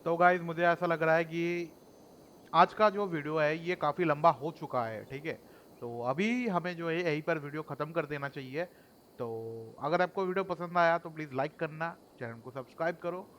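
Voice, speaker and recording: A man's voice: a little high, rapid-fire, a little hiss or hum. A man with an Indian accent giving casual gameplay commentary as a video host. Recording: loud game music behind the voice.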